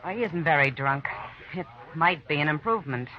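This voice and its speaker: A woman's voice: sits low, lively intonation, fairly quick. Frontier saloon woman: wry, unruffled, self-possessed, muffled old-time radio western recording. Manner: dry, unruffled.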